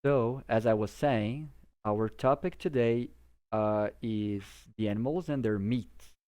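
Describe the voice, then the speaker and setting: A man's voice: mid-range voice, takes it slowly, darkish tone. A Brazilian man, a native Portuguese speaker, giving an informal English vocabulary lesson in simple explanations, often checking that the listener follows. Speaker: English teacher.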